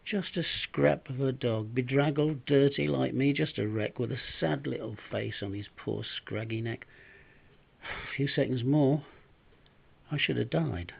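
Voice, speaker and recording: Old man reciting as a gravelly, plaintive former down-and-out. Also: medium pitch, measured pace, lo-fi sound, heavily acted. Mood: tender, sorrowful. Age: 70s or older. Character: humble former down-and-out, wistful, tender-hearted man. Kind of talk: dramatic recitation of a rhyming monologue.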